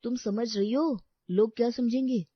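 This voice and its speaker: A woman voicing a sensible, level-headed younger husband in an animated storybook. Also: a little high, normal speed, no echo, pitched above the performer's own voice, natural voice. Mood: earnest. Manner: reasoning, teasing at times. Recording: dull lo-fi dry recording.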